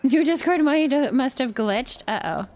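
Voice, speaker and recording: A woman's voice: high voice, lively intonation, normal speed, a little mellow. A woman hosting a game livestream, chatting casually with viewers and helpfully explaining steps as she plays. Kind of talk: casual livestream chat while playing.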